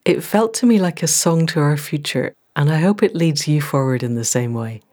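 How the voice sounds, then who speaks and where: A woman hosting a podcast, speaking in a measured, earnest and articulate way, as in an introduction or an interview. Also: low-pitched, animated, darkish tone. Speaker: podcast host. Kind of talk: scripted podcast introduction, then conversational interviewing.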